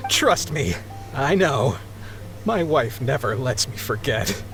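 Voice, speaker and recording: A flustered, lively sea captain. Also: male voice, mid-range voice, slow, creaky, heavily acted. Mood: grateful, apologetic. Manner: flustered, hesitant, apologetic. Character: embarrassed, grateful middle-aged married sea captain. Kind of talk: video game voice acting. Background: background music.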